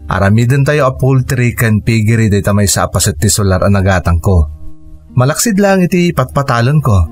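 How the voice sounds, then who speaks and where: An actor playing a kindly man in a radio drama, speaking in a lively, expressive way, with music faintly under him. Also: medium pitch, fairly quick, natural voice. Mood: proud. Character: Roland, a kindly man and proud provider.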